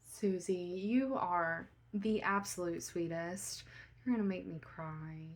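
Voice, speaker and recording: A woman playing an emotional assistant bank manager who is holding back tears. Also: fairly low pitch. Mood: tearful. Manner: tearful, breathy, halting.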